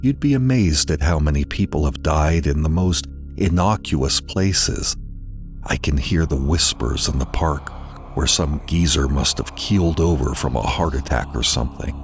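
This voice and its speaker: An extremely deep-voiced man narrates a dramatized horror story. He is deliberate and theatrical, letting phrases hang in pauses. Faint music runs behind him.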